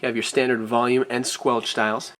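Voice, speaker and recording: Guy doing an off the cuff product review, mid pitch voice, bit hesitant with lots of ums and repeats. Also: flat delivery, normal speed, fairly bright tone. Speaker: product reviewer, radio shop presenter. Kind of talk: unscripted product walkthrough.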